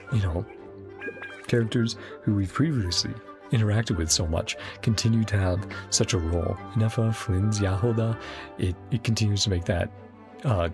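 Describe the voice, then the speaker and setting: A man's voice: on the low side, darkish tone. A man chatting casually as a game streamer, enthusiastic and rambling as he thinks aloud. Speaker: game streamer reacting to a story quest. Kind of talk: casual chatty reaction commentary.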